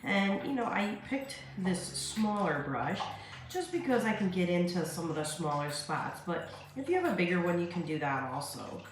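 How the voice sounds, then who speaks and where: A woman in her forties, stuffed up, talks through a craft demo in a relaxed, rambling way, full of "you know" and "um". Her voice sits a little low. It is recorded on a phone at a kitchen counter, so the room rings with heavy echo over a faint background hum. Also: normal speed. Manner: chatty, filler-heavy, friendly how-to talk. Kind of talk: casual craft tutorial. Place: home kitchen, indoors. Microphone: phone camera on a stand at the counter. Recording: echoey kitchen with faint ambient noise.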